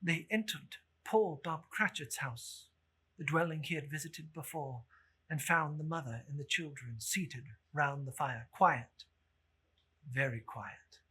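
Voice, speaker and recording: Theatrical story narrator, slow and really husky, measured literary reading. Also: mid-range voice, bright, forceful tone.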